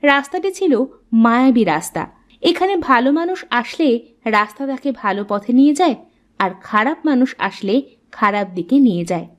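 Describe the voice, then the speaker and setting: High-pitched woman narrating a cartoon story. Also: animated, a little fast.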